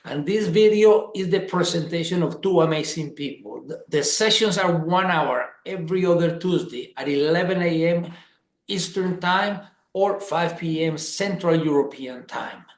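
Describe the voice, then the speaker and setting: A man's voice: on the high side, normal speed, echoey room. A man from Colombia hosting a webinar over a video call, talking with enthusiasm and stressing points by repeating words. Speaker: webinar host.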